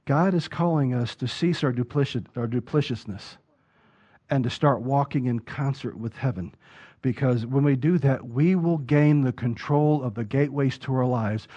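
A man preaching a sermon, building from steady talk to loud, emphatic peaks. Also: medium pitch, moderate pace. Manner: rises to loud emphatic preaching peaks, acts out quoted voices. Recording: dull lo-fi sound.

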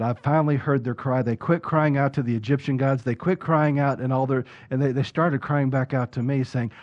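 A man preaching a sermon, building from steady talk to loud, emphatic peaks. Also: medium pitch. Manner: rises to loud emphatic preaching peaks, acts out quoted voices. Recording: dull lo-fi sound.

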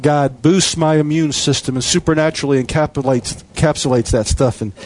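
A man preaching a sermon, his voice sitting a little higher than most men's. He hammers on repeated phrases with an emphatic cadence. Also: fairly quick, darkish tone, slight background noise.

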